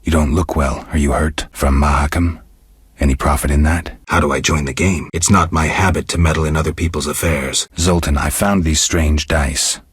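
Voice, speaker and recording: A man playing a stoic, world-weary middle-aged monster hunter in a video game, speaking in a gravelly, husky voice with dry, laconic restraint. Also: extremely low pitch, fairly even intonation, normal speed, no echo, full character voice. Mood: measured, guarded. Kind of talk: scripted video game character dialogue.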